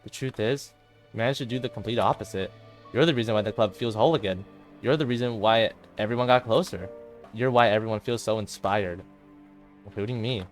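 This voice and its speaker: A despairing teenage girl, a club president weighed down by guilt acted by a man. The voice is quiet and hesitant over music, in the style of visual novel drama. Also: lowish voice, brisk, close to an everyday voice. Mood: guilty. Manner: subdued, hesitant, self-blaming.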